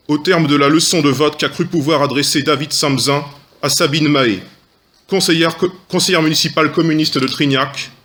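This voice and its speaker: A man's voice: fairly high pitch, fairly bright tone. A man, an opposition councillor, delivering a prepared political speech in formal, literary phrasing. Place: council meeting room. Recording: faint background noise, clicks.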